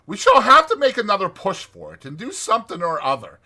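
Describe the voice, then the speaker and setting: Male performer as storybook rat, brisk, resourceful, exclaiming, high-pitched, husky edge. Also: wildly swooping pitch, pushed and bright, full character voice. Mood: urgent, resolute. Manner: emphatic, exclaiming. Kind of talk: dramatized audiobook character voice.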